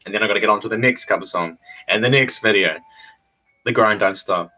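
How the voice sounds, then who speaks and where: A young man with a New Zealand accent chatting on a webcam stream from a small bare room. His voice sits fairly low but sounds bright and forward, and his delivery is easygoing, with frequent chuckles and phrases said twice. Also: fairly quick. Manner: laid-back, chuckles often, repeats phrases. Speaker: vlogger hosting a livestream. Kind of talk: casual livestream chat answering viewers. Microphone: webcam at desk distance.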